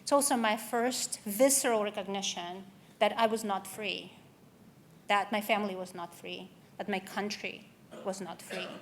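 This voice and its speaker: A woman's voice: mid-range voice, fairly bright tone, a little hiss or hum. Woman from russia giving a keynote, earnest and personal, lots of ums. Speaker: keynote speaker. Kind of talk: conference keynote speech. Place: event hall.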